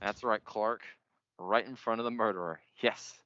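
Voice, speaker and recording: Pompous low-voiced radio announcer played by a man. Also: steady tone, normal speed, bright, forceful tone, slight background noise. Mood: fervent, grandiose. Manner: pompous, theatrical, boastful. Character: grandiose, obsessive male radio announcer and show boss. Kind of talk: high school stage radio-play acting. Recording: dull lo-fi recording.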